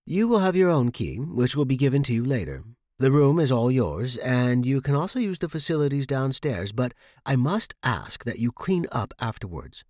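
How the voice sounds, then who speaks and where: A man voicing a stern, bossy landlady in audio fiction, giving brisk, clipped instructions. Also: medium pitch, big pitch swings, a little mellow, dry recording, clean studio sound, close to an everyday voice. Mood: matter-of-fact. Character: stern, bossy woman landlady with strict house rules. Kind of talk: audio fiction character reading.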